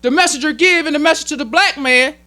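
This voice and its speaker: An American man delivers a sermon in a very high-pitched, piercing voice. He teaches emphatically in plain colloquial speech, driving points home by repetition. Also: fast, sharp tone. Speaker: minister teaching at a temple meeting. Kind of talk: religious sermon, explaining teachings.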